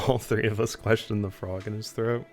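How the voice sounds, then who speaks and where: A man's voice: fairly low pitch, little pitch movement. A man streaming a game in casual, rambling commentary, a little under the weather with a slight cough. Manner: chatty, rambling, quick reactions.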